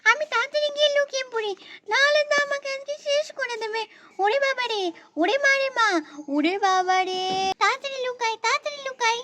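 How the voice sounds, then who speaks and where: A tiny, extremely high girlish voice. The mischievous little girl speaks in singsong exclamations, as in a homemade children's story about a playful daughter and her mother. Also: expressive, slow pace, background noise, heavily acted. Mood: panicked. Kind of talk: amateur children's play-acting story.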